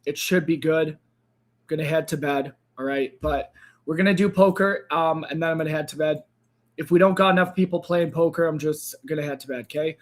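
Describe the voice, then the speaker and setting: Hoarse man hosting a trading livestream. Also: steady tone, fairly quick. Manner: tired, low-energy sign-off with frequent fillers. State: hoarse, losing his voice. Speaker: crypto trading livestream host. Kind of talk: casual livestream talk to viewers.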